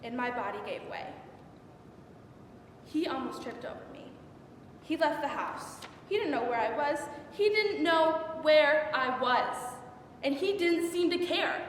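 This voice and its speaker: A young woman playing an anguished biblical concubine in a dramatic stage monologue, with halting, repetitive, intense delivery. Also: high voice, animated, pitched above the performer's own voice. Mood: horrified, distraught. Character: anguished young unnamed biblical concubine recounting her ordeal. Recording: echoey hall from far off, faint noise.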